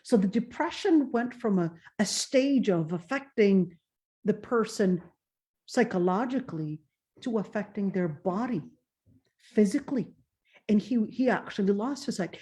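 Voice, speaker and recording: A woman gives a religious lecture in a warm, mellow voice. She talks earnestly and slows down to stress her main points, now and then reciting verses in Arabic. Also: mid-range voice, expressive. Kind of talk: Islamic lecture.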